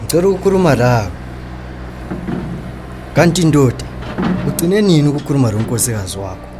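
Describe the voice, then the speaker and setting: A man's voice: medium pitch, very animated, fairly quick, over music, lightly acted. A man with a Zimbabwean accent playing a concerned, respectful, frank working man in a radio drama. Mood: reproachful. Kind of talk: radio drama acting in Ndebele.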